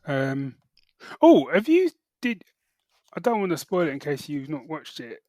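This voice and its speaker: British guy podcasting, voice a bit high, stops and restarts his thoughts, very dry sound. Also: very animated, measured pace, mellow, no room sound. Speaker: podcast co-host. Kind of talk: casual chat, geek reviews.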